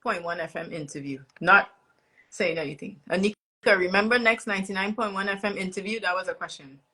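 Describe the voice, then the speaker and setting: A woman's voice: mid-range voice, fairly quick, bright, forceful tone, husky. A woman hosting a casual interview, backchanneling often and reading out questions. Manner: lots of short backchannel, reads audience questions. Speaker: host.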